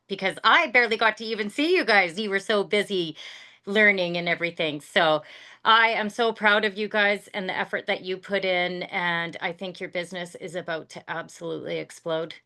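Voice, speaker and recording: A woman's voice: mid-range voice, sharp tone. Upbeat woman hosting a video call. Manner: upbeat, encouraging, quick asides.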